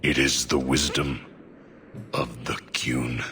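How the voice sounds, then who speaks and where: A giant solemn horned warrior for a game, super low voice, slow halting stoic delivery. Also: male voice, steady tone, pushed and bright, slight background noise, full character voice. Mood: grave, stoic. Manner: halting, stoic, terse declarative phrasing. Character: solemn, devout male Qunari warrior mage, huge horned humanoid. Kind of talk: video game cutscene acting.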